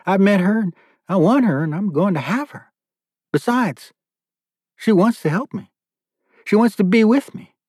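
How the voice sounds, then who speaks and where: A man's voice: sits high, expressive, moderate pace, pauses often, darkish tone, husky, no echo, voice pushed up. A man performs a menacing male captor in a dramatic audiobook. He speaks in a raised, smug voice, giving orders with a sickly show of sympathy and possessiveness. The sound is close and dry. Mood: obsessive, self-pitying. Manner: commanding, mock-sympathetic. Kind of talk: audiobook character dialogue.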